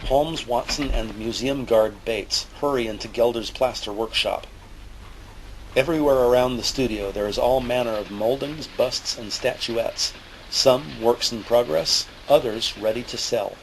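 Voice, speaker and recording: A man narrating an audio drama from a script in a deliberate, theatrical style. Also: mid-range voice, a little hiss or hum, poor audio. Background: music under the voice.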